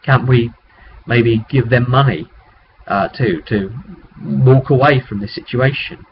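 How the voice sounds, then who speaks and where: A man's voice: mid-range voice, a little slow, close to an everyday voice. A man voicing a reasonable tabletop-game adventurer who bargains hesitantly, recorded on a webcam. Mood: hesitant bargaining. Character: adventuring party haggling with mercenaries. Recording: dull webcam audio with background noise.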